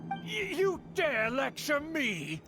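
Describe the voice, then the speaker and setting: A pompous old noble uncle. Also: male voice, extremely high pitch, huge pitch swings, slow pace, over music, full character voice. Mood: indignant. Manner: pompous, lecturing. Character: pompous older noble uncle, haughty and indignant. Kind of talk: video game voice acting.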